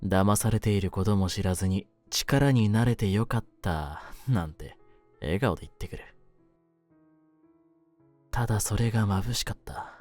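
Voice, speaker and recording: A man playing a world-weary, lonely young mafioso in an intimate roleplay audio, speaking in a low voice with rough streetwise phrasing. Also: a little slow, soft background music, close to an everyday voice. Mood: melancholy, confessional. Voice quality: low-pitched voice. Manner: rough, streetwise masculine speech, brooding and soft-spoken. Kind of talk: intimate Japanese situation-voice roleplay, ASMR-like.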